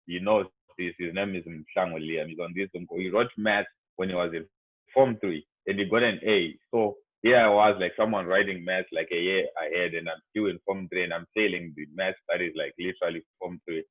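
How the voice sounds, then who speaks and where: A man's voice: sits low, steady tone, brisk, dry recording. A young man from Zimbabwe with a Zimbabwean accent, speaking casually to students on a video call and filling his talk with "like" and "you know". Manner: rambling, heavy on "like" and "you know". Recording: tinny, dry video-call audio.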